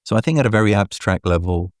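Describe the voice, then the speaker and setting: A man with a deep voice answering interview questions as a guest, measured and deliberate, often checking in with "right?". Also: animated, no echo, clean studio sound. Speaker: venture capital partner, guest.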